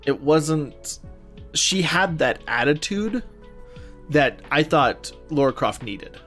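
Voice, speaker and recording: A man's voice: on the high side, animated, slow, fairly bright tone, soft background music. A man co-hosting a podcast, chatting casually and informally about films and games with gushing opinions. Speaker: podcast co-host.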